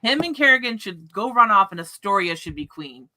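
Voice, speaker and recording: A woman hosting a casual book discussion livestream, chatting in an animated way. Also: medium pitch, brisk, background noise.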